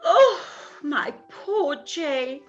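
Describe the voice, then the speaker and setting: A woman's voice: high-pitched, animated, extremely slow, muffled recording, soft background music, heavily acted. A woman playing a fretful, self-pitying middle-aged mother in a period radio play, complaining and exclaiming melodramatically. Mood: tearful grievance.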